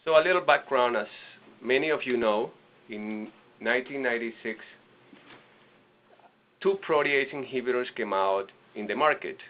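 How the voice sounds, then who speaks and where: Man from venezuela, nonprofit director, webinar talk, halting speech, dull lo-fi sound. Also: medium pitch. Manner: halting, with restarts and fillers. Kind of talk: webinar presentation. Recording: lo-fi webinar audio.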